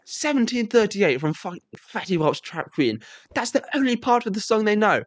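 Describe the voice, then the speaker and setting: A man's voice: very animated, moderate pace, dry recording. Exasperated young man ranting. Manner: exasperated, sarcastic ranting with sudden high-pitched outbursts. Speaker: vlogger. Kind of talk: comedic rant to camera.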